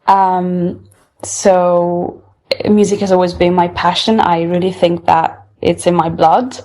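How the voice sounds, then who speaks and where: A young Italian woman speaks English in a relaxed interview, her voice on the lower side. She talks thoughtfully and often restarts her sentences as she looks for the right words. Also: slow. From Italy. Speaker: singer-songwriter guest. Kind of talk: casual interview.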